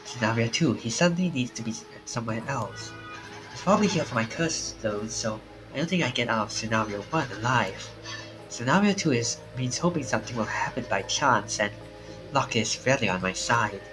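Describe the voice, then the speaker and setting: A man's voice: medium pitch, expressive, brisk, poor audio. A man reading a visual novel aloud as a streamer. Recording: game music behind the voice. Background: game music.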